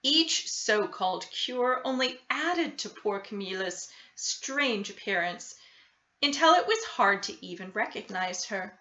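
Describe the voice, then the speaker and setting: A woman reads a children's story at a slow, measured pace. Her voice is bright and expressive, with deliberate emphasis on key words. The recording is roomy and a little dull. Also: medium pitch. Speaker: storybook reader. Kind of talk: expressive children's picture book read-aloud. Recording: roomy, slightly dull sound.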